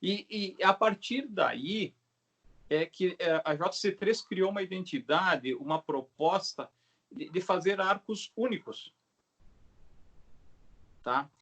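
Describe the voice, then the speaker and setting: A middle-aged man with a Brazilian Portuguese accent explains his craft in a casual, halting way, often checking in with short tag questions. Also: high-pitched, fairly bright tone. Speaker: craftsman, bow maker being interviewed. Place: video call.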